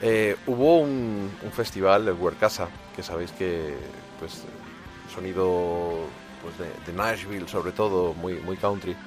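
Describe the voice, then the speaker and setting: A male radio host with a fairly low voice, presenting a music show. He chats casually, teases his co-host and talks about bands with enthusiasm. Music plays faintly behind him. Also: unhurried. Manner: teasing, chatty, enthusiastic.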